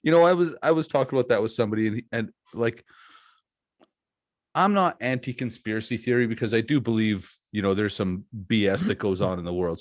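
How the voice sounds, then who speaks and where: A man's voice: fairly low pitch, no echo, clean studio sound. A man in his forties hosting a podcast, chatting casually in a jokey, self-deprecating, rambling way. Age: 40s. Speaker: podcast host, wrestler. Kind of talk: casual chat.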